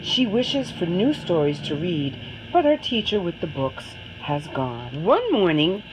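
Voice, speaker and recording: A woman narrates a picture book for children, taking her time over each phrase. Her pitch moves in lively, dramatic swings, and there is faint noise behind her. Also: a little slow, rough recording. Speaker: storybook reader. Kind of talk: expressive children's story read-aloud.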